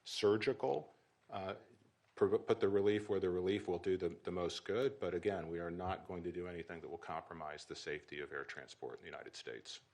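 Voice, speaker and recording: A middle-aged man with a notably deep voice addresses reporters at a press briefing. He speaks in a measured, official way, pausing and restarting as he explains. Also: darkish tone.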